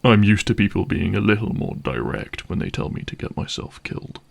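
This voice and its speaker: A man playing a gruff, cynical vampire hunter for hire in a dramatic audio roleplay, speaking in a wry, sardonic and menacing way. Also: lowish voice, very animated, a little fast, heavily acted. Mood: sardonic, dismissive. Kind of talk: dramatic audio roleplay monologue addressed to listener.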